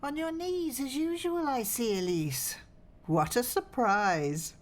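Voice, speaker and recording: A middle-aged woman playing a haughty, sardonic mother, disdainful and deliberate, in a radio soap. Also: on the high side.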